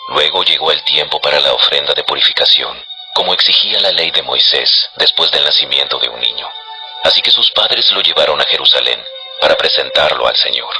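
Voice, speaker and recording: Very deep, forceful male narrator. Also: animated, fairly quick, faint music behind, poor audio. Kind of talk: scripted scripture narration.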